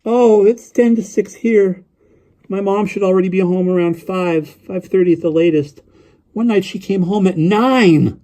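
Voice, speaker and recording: A man voicing a lonely, eager young boy on the phone in an audiobook story, guileless and rambling, with a childlike high-pitched voice. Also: a little slow, mellow. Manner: guileless, rambling, repetitive, full of questions.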